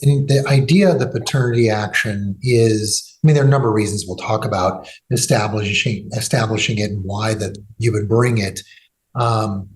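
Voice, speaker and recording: A man's voice: on the low side, normal speed. A man hosting a legal podcast, talking in a loose conversational way with fillers and restarts. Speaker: podcast host and law firm executive.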